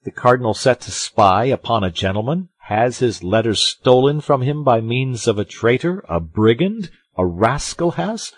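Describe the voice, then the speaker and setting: Man playing a pompous musketeer, loud and swooping. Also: medium pitch, big pitch swings, normal speed, few pauses, husky, no echo, voice pushed up. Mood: indignant bluster. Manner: pompous, exclamatory, emphatic. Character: boastful, loud, self-satisfied male musketeer, blustering and theatrical. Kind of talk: audiobook character acting.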